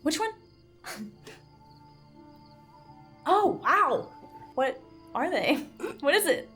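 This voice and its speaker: A woman playing a tabletop roleplaying game, chatting casually in an expressive, exclamatory way. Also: high voice, very slow. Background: faint background music.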